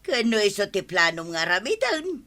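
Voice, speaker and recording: A woman's voice: medium pitch, huge pitch swings, fairly quick, bright, forceful tone, exaggerated voice. A woman acting a bossy, mocking village woman who scolds and teases in a comedic radio drama. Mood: scolding.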